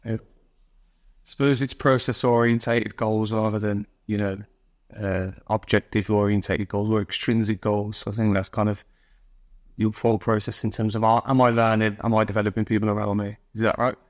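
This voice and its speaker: A man's voice: lowish voice, level tone, moderate pace. A man hosting a conversational interview, putting long, meandering, tentatively phrased questions to his guest. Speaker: podcast interviewer, host.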